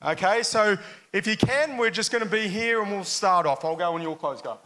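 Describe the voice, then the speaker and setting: A man with an Australian accent talks a live audience through a sports demonstration on a microphone. His voice is high for a man and bright, with some rasp to it. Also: lively intonation, moderate pace. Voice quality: raspy. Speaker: jiu-jitsu demonstrator. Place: live function room at a club.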